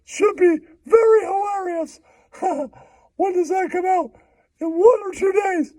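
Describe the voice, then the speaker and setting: A man voicing a giggly, foul-mouthed cartoon mouse puppet in squeaky falsetto, teasing and pitching in a comic puppet show. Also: slow, long pauses, a little mellow, dry recording. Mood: gleeful. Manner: giggly, repeats taunting catchphrases.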